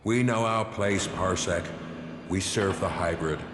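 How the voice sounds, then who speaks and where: An actor playing a blunt, frustrated senior officer in a video game, speaking firmly. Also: male voice, low voice, measured pace. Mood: restrained. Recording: echoey hall reverb, clear background noise.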